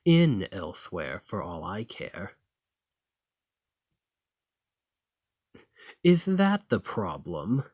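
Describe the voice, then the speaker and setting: A man's voice: sits low, wildly swooping pitch, slow, a little mellow, breathy edge, dry recording, highs cut off, heavily acted. A man playing a dominant, haughty fantasy thane in an ASMR roleplay, commanding and formal with a condescending, teasing edge. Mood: demanding, curt. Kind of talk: ASMR roleplay acting, slow and intimate.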